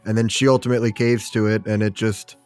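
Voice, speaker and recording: A man's voice: on the low side, level tone, fast, a little mellow, clear, resonant tone, faint music behind. A man chatting casually as one of a podcast's panelists. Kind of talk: casual chat.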